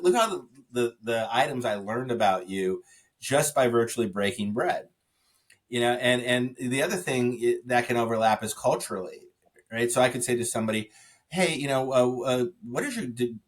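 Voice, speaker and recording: Man, podcast guest, animated storytelling, frequent restarts, average pitch. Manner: animated storyteller, many restarts and "you know". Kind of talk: conversational interview.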